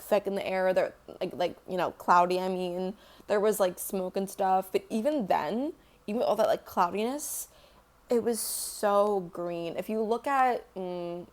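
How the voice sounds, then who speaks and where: A young woman who sings, answering fan questions in a loose, rambling way full of fillers and casual swearing. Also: a little mellow. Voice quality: deeper singing voice. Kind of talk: casual Q&A chat.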